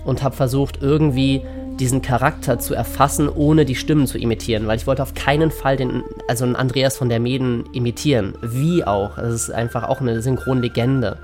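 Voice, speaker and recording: A man with an average-pitched voice talks as a voice actor in an interview. His delivery is informal and earnest, and music plays clearly underneath. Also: fairly even intonation, brisk. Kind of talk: casual interview talk. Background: music bed.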